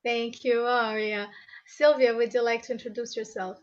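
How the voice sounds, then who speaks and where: Female English teacher from Brazil hosting a group call; high-ish voice over muffled audio. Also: steady tone, normal speed. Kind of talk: online group video call. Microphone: video call.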